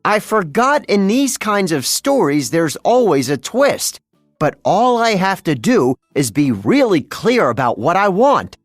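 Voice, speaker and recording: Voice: mid-range voice, very animated, measured pace, dry recording, clean studio sound, faint music behind. A storybook reader voicing a bumbling, theatrical male cartoon mad scientist who scheme-talks in a grandiose, rambling, exasperated way. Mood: musing.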